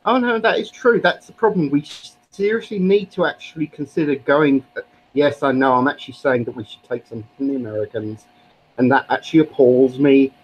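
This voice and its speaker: A man's voice: medium pitch, fairly quick, husky, lo-fi sound. A British man arguing politics on a live stream in an opinionated, lecturing way. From Britain. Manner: opinionated, lecturing, uses actually a lot. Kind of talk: casual political argument.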